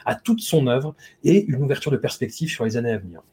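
A man's voice: medium pitch, lively intonation, fast, warm tone, slightly rough. A man hosting a casual podcast discussion, speaking in articulate, literate phrases. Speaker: podcast host.

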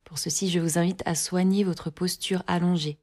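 A woman guiding a yoga nidra relaxation, giving calm, measured instructions in short phrases with long pauses between them. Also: on the low side. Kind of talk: guided relaxation meditation, scripted instructions.

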